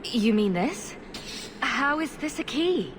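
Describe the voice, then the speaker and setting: Young female adventurer hero, highish lively voice, resolute, civil, direct, video game drama, noisy background. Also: slow, exaggerated voice. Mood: determined. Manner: direct, questioning.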